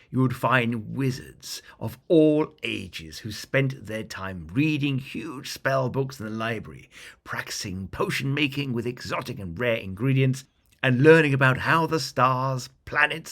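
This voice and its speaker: Casting: male bedtime-story narrator, mid-pitched and very expressive, dramatic and deliberate fantasy storytelling.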